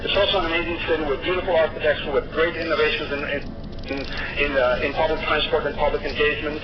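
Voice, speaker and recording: A man's voice: fairly high pitch, fairly even intonation, fast. A middle-aged man from Colombia giving a conference talk, earnest, with frequent restarts and fillers. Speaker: conference speaker. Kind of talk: keynote talk. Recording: dull, noisy recording.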